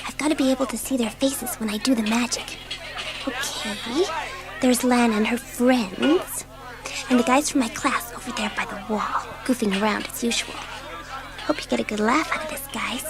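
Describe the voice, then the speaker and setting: A high, girlish voice over music: a bored, mischievous schoolgirl, played by a woman. She is expressive and dramatic, quick to take offence, in the manner of a children's audio drama. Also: lightly acted. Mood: scheming.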